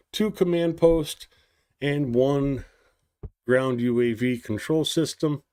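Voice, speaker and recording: A man hosting a daily news stream, reading war reports aloud slowly and stumbling over hard foreign place names, with casual asides. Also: medium pitch, a little mellow. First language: English. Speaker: news show host.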